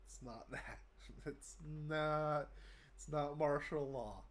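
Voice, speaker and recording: A man's voice: fairly high pitch, takes it slowly. Congested man with a cold, rambling. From United States. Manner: rambling, many fillers and restarts. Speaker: vlogger. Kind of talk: casual update talk to camera.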